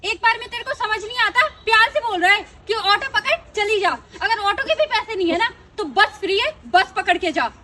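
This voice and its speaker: A woman acts out a haughty, aggressive office worker in an amateur melodrama. The voice is extremely high, bright and forceful, dripping with scorn as she scolds. Faint noise runs behind her. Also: brisk, few pauses, distant mic. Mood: scornful. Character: haughty, spiteful female office employee, snobbish and aggressive. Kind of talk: amateur Hindi melodrama skit acting.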